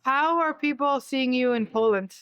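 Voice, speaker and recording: A woman's voice: high voice, moderate pace, a bit bright, smooth, dry recording. A woman hosting an interview podcast, talking reflectively and asking her guest questions. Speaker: podcast host. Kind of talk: casual interview chat.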